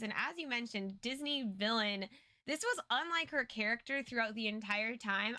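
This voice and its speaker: A woman's voice: fairly high pitch, bright, forceful tone, no room sound. A woman co-hosting a recap show, giving casual commentary in an earnest, explanatory way. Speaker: co-host of a story recap show.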